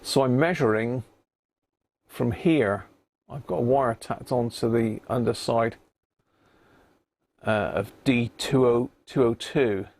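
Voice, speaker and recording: A man's voice: medium pitch, takes it slowly, pauses often, a little mellow. A British man giving casual live commentary as a hobbyist repairer, muttering and thinking aloud as he works. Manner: muttering to himself while working, thinking aloud. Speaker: livestream host, radio repair hobbyist.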